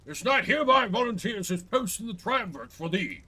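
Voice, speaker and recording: Shrill raspy knight critter, super pompous and chivalrous, game voice. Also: male voice, big pitch swings, brisk, bright, forceful tone, exaggerated voice. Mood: proud. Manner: grandiose, archaic third-person declaiming.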